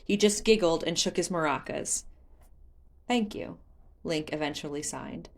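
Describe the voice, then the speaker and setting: Low-pitched woman narrating a story. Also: normal speed. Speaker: audiobook narrator. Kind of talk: scripted fan fiction narration, storytelling.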